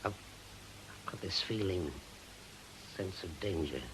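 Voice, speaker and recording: An actor playing a bitter, fearful older widower in a British radio drama, sardonic and emphatic, halting when troubled. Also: male voice, low-pitched, background noise. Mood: haunted, afraid.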